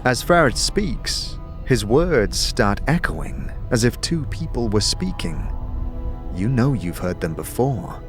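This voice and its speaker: A man's voice: mid-range voice, animated, takes it slowly. A man narrating a fantasy audio drama in a dramatic, scripted, second-person storytelling style. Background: music.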